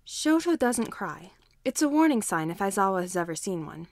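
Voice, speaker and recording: A woman's voice: medium pitch, big pitch swings, normal speed, a little mellow, no echo. A woman narrating a scripted story as a fan fiction reader.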